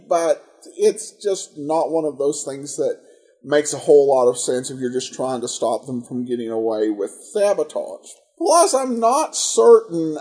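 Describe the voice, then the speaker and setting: Husky, animated male podcast host. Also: on the high side. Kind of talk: conversational episode commentary.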